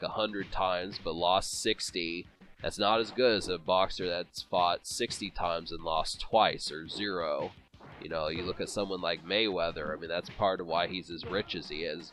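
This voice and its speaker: A man's voice: sits low, a bit bright. A man with a cold, sniffling and congested, rambling through casual commentary on a boxing video game with many fillers and restarts. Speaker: let's play commentator.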